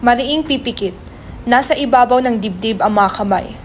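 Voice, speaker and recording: A woman's voice: fairly high pitch, moderate pace, slight background noise. A woman reading stage directions as scripted narration in a dramatic reading.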